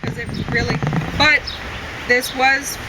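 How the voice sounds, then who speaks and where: A woman's voice: sits high, fairly even intonation, slow, heavy background noise. A woman reviewing films in a casual, unscripted chat, rambling and often correcting herself as she goes. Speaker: film reviewer.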